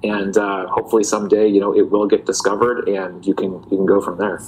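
A man, chatting as an interview guest. He thinks aloud, circling back with fillers and restarts in an easygoing, unhurried way. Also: fairly low pitch, slight background noise, low-quality recording. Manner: relaxed, reflective, many fillers and restarts. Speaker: guest, parody account writer. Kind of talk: casual interview talk.

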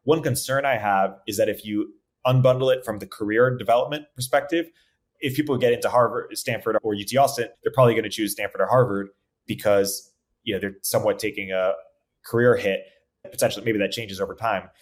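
Very fast-talking man, low-voiced interviewer. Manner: halting, repeats words as thoughts form. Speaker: podcast host, interviewer. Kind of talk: conversational interview questioning.

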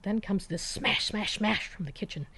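A woman's voice: mid-range voice, normal speed, sharp tone, breathy edge. A woman reading a first-person short story in a conversational, wry and emphatic way, with clipped phrases, as if talking to someone.

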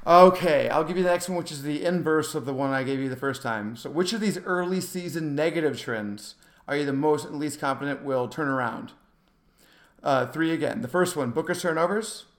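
A man with a somewhat high voice talks quickly in a roomy, echoey recording. He co-hosts a casual sports podcast, informal and animated as he argues basketball.

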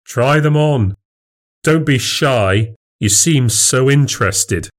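A man's voice: medium pitch, expressive, slow pace, voice pushed up. A man voicing a jealous, sarcastic husband in audiobook dialogue, goading and sneering.

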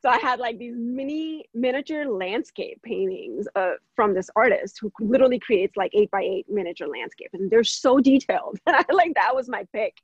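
A woman from India in her thirties, chatting as an interview guest, animated, laughing often and using plenty of fillers. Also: a little high, moderate pace, few pauses, breathy edge, no room sound, lo-fi sound. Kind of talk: casual interview conversation.

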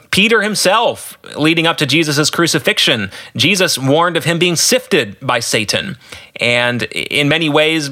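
Male podcast host, higher-set and very bright voice, earnest explanatory commentary. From United States. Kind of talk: solo podcast book commentary.

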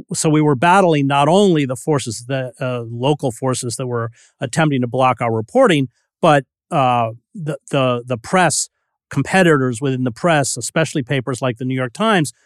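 A man's voice: medium pitch, clean tone, no room sound, clean studio sound. A man hosting a podcast interview, speaking in blunt, emphatic, declarative statements. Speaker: podcast host. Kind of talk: interview conversation.

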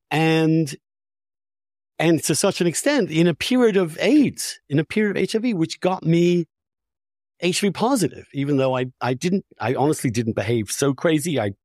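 Man with a higher voice, emphatic talker. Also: darkish tone, dry recording, studio quality. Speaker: podcast host. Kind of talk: candid, opinionated conversation.

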